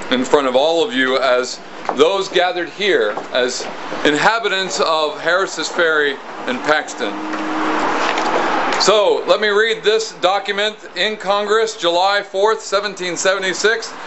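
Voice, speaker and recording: A middle-aged American man playing a solemn colonial townsman, reading formally in a projected, measured, declamatory way. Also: on the high side, natural voice. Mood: formal welcome. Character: solemn colonial townsman proclaiming a public document. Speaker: costumed historical reenactor. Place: outdoors on a stone house porch. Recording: muffled outdoor recording with clear ambient noise.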